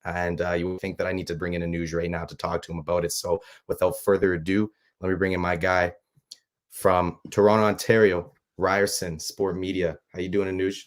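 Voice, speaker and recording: A man hosting a casual sports talk show, his voice raspy from a cold, talking loosely with many fillers and restarts. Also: low-pitched, level tone, brisk. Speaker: show host.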